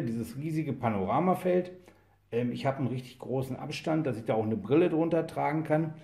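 Male reviewer, mid-pitched, easygoing hands-on explainer. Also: warm tone. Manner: casual, practical explaining with fillers. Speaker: welding equipment reviewer. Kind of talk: product review voice-over.

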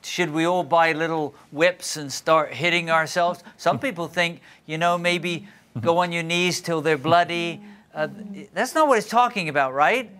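An older man leads a studio Bible class, pitched a touch high with a bright, forward tone. He keeps the room involved with quick questions. Lapel mic, clean studio sound. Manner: questioning, engaging, asks the class. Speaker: Bible study teacher. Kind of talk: leading a group Bible lesson. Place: TV studio set.

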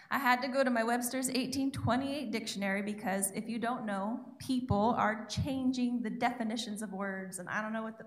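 A young woman giving a sermon from her notes on a church stage, chatty and self-deprecating, speaking into a handheld mic. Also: fairly high pitch. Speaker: guest preacher. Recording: echoey church room.

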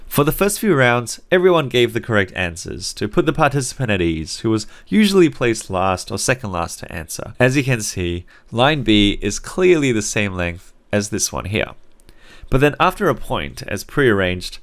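A young man from Singapore, speaking with an Australian accent, narrating a scripted psychology lesson in a clear, conversational and lightly wry way. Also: medium pitch. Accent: Australian English, learned as an adult. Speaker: psychology teacher.

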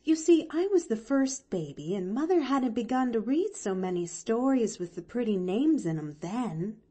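A good-hearted young servant woman from a storybook, played by a woman reader, blunt and given to grumbling. She talks in folksy rustic phrases, with pitch that moves in lively swings. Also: moderate pace. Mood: wistful, grumbling. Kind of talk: expressive audiobook character reading.

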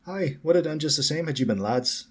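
A man's voice: fairly high pitch, animated, brisk, voice pushed up. A man voicing a gallant, easygoing teenage schoolboy with an Irish accent, in a storybook reading. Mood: friendly.

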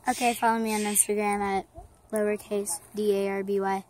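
A young girl chatting in a casual camping vlog, giggly and excited, recorded on a phone outdoors. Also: mid-range voice, fairly quick, a little hiss or hum. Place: campground outdoors. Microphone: handheld phone.

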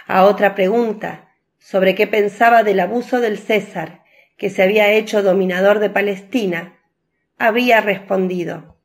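A woman narrating a scripted devotional radio drama in a measured, composed way. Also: medium pitch, steady tone.

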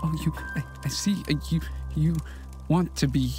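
A man's voice: a little high, music in the background, natural voice. Man acting a stammering, lovelorn possessed soul. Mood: dawning realization. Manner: halting, stammering, repeating words. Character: anguished, lovelorn possessed man, halting and unhinged. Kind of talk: horror audio drama acting.

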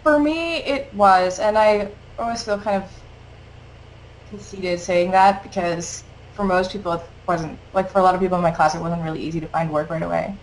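A woman's voice: lowish voice, slight background noise, poor audio. A woman in her twenties from the Netherlands, a native Dutch speaker with a mostly American-sounding English accent, chatting casually as an interview guest over a webcam video call. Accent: American-leaning English. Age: 20s. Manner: chatty, quick, frequent laughs and fillers. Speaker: guest artist. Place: small home studio room, indoors.

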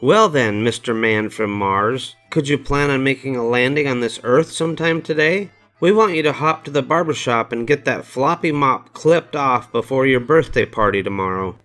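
An older man voicing a brisk, wry, coaxing mother in a storybook. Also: medium pitch, fairly bright tone, soft background music, voice pushed up, lightly acted.

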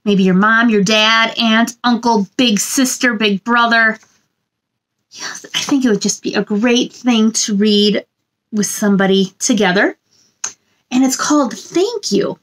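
High-pitched woman reading slowly to children. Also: poor audio. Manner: gentle sing-song delivery addressed to young children. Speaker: children's storytime host reading a picture book aloud. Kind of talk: children's read-aloud with chatty asides. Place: small indoor room.

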